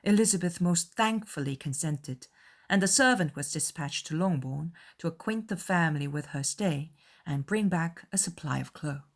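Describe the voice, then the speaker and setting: Woman narrating a classic novel, slightly low voice. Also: expressive, moderate pace. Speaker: audiobook narrator. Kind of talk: scripted literary narration.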